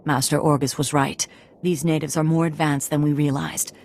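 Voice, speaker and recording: An actress playing a composed, serious female Jedi master in a video game, speaking formally and calmly. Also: low voice, a little hiss or hum. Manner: formal, calm, measured. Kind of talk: video game cutscene acting.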